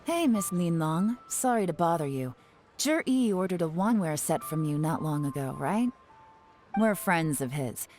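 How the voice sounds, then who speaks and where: A woman playing a spymaster in a video game, calm and cool, in full command. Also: fairly low pitch, a little slow. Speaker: spymaster leading an investigation. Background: game music.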